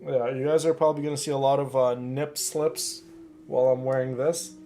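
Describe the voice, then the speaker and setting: A man's voice: mid-range voice, flat delivery, fairly quick, mellow. Chatty male game streamer. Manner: chatty, familiar, addresses viewers directly. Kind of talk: casual live stream chat. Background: game music.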